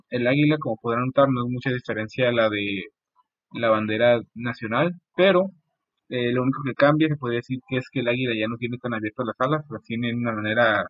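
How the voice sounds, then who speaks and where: A man's voice: medium pitch, dry recording. Young man presenting, tinny phone-like audio. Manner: halting, often repeats phrases. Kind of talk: informal school-style history presentation over slides. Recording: muffled, phone-like narow band, dry.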